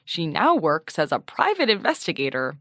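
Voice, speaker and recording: A woman's voice: low-pitched, huge pitch swings, normal speed, a bit bright, dry recording, studio quality, exaggerated voice. An actor playing a sardonic, businesslike woman journalist who hosts an investigative mystery podcast in an audio drama, pointed and articulate. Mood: measured, narrating. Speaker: investigative show host.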